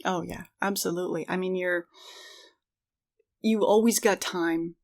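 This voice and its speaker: A woman's voice: lowish voice, steady tone, a little slow, vocal fry, a little mellow. A middle-aged woman co-hosting a podcast, talking casually and reflectively in an earnest way. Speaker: podcast co-host.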